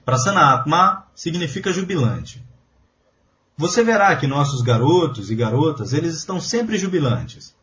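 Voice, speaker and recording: A man's voice: on the high side, animated, moderate pace, a bit bright, highs cut off, a little hiss or hum. A man reading a translated spiritual lecture aloud in an earnest, emphatic preaching manner. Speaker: voice-over reader of a translated lecture.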